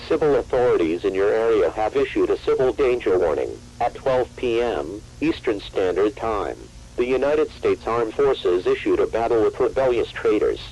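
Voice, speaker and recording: A man voicing an impersonal emergency alert announcer, reading formal instructions in a flat, official manner. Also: lowish voice, normal speed, few pauses, poor audio.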